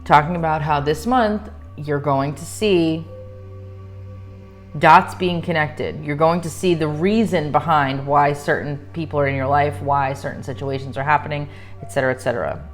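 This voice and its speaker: A woman's voice: sits low, steady tone, moderate pace, soft background music. A woman doing a tarot reading to camera, reading card messages solemnly and then chatting casually between cards. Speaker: tarot reader. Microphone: microphone.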